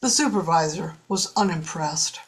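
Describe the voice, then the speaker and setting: Lower-pitched woman narrating a story. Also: animated, normal speed, husky, rough recording. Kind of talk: scripted audiobook-style story narration.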